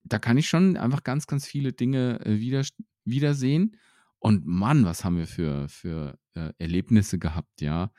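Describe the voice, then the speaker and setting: Hesitant, reflective man in mellow voice. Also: on the low side, moderate pace, dry recording, studio quality. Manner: hesitant, reflective, many fillers and restarts. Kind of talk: casual faith conversation.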